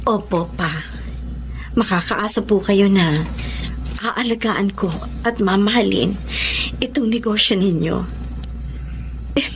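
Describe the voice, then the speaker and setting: A woman's voice: mid-range voice, slow, long pauses. A woman acting in a Filipino radio drama as a supportive, earnest mother and dutiful daughter. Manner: earnest, encouraging.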